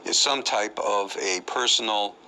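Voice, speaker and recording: A man's voice: on the low side, a little slow, pushed and bright, slight background noise. A man giving analytical commentary on criminal psychology in a documentary interview.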